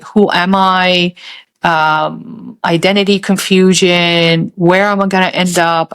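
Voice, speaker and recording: A woman who grew up in Saudi Arabia, telling a personal story in a thoughtful, halting way with many pauses. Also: fairly low pitch, clean tone. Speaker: podcast host.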